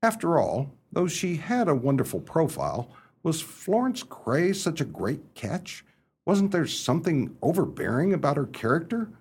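An excitable young English gentleman of leisure for a radio drama. His delivery is theatrical, with pitch leaping all over and a slightly rough, husky tone. He chats and blusters like a well-meaning fop. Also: normal speed, exaggerated voice. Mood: reflective. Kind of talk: radio play acting and first-person storytelling.

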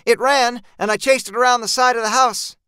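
Man voicing a high, urgent rural woman, resolute. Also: steady tone, brisk, pushed and bright, clean tone, dry recording, studio quality. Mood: brisk, resolute. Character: gritty rural woman, blunt, resolute monster-fighting neighbor. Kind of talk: dark horror audiobook character voice.

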